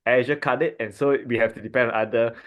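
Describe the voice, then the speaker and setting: A man from Malaysia talks through a big decision in an easy family chat. He thinks aloud, full of "you know" fillers and restarts, in a voice of ordinary middle pitch. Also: steady tone, fast talker, a bit bright. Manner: thoughtful, many fillers and restarts. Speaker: podcast co-host, doctor.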